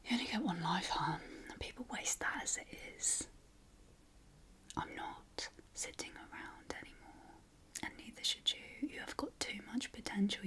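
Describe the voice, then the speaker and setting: A British woman speaking in a breathy whisper, giving encouraging, affectionate ASMR affirmations in an informal way. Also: medium pitch, pushed and bright. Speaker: ASMR host.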